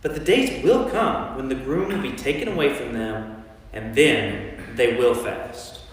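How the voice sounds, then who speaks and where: A man playing Jesus as an earnest, firm teacher in a staged scripture reading, speaking deliberately and emphatically. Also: mid-range voice, lively intonation, close to an everyday voice. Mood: instructive. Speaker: lay reader in a staged church reading. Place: large church interior. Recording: very echoey church, distant mic.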